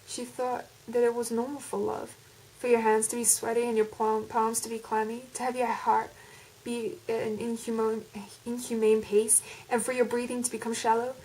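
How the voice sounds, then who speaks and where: A woman's voice: fairly high pitch, flat delivery, normal speed, a little mellow, close to an everyday voice, rough recording. A woman putting on an American accent as an intense, melodramatic storyteller with dramatic, emphatic delivery. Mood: tense, yearning. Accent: put-on American.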